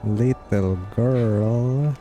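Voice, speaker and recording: A man's voice: medium pitch, fairly even intonation, very slow, warm tone. A man streaming a game, giving casual, blunt, sweary reactions as he plays. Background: game music.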